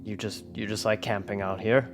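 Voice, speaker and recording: A man's voice: fairly low pitch, flat delivery, normal speed. A man playing a tabletop roleplaying game, improvising dialogue in a casual way. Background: faint background music.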